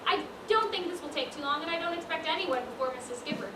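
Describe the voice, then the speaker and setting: High-voiced, brisk shopkeeper lady played by a woman, far off. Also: close to an everyday voice. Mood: brisk, exasperated. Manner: scolding, emphatic, formal. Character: brisk, exasperated, proper lady dress shop proprietor. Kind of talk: amateur stage play acting. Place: large indoor performance space, far from mic. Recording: distant, echoey stage recording with loud noise.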